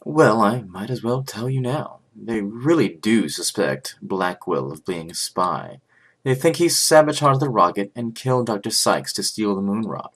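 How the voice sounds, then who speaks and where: A man voicing a composed, formal prosecutor in a game fandub, speaking in a measured, matter-of-fact way. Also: lowish voice, animated, fairly bright tone, husky, heavily acted. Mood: grave, helpful. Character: composed, formal male prosecutor briefing on a case. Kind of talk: scripted game fandub acting.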